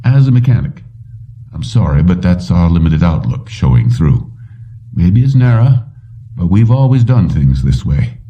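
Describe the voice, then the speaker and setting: A man's voice: low-pitched, very animated, moderate pace. A man reading an audiobook, voicing an earnest, resolute older father who owns a company. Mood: persuasive. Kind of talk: audiobook character dialogue. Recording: slightly distant, dull lo-fi recording.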